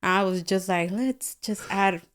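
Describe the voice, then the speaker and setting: A woman's voice: medium pitch, measured pace. A woman from Guatemala whose first language is Spanish, telling personal stories casually and in slangy, informal words as a podcast guest. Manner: informal, slangy, peppers talk with "like" and quoted dialogue.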